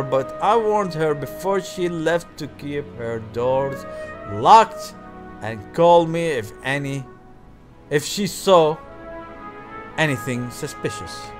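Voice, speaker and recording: A man hosting a gaming video reads visual novel text aloud with theatrical emphasis, stumbling now and then and dropping in offhand comments. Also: on the high side. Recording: music behind the voice. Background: game music.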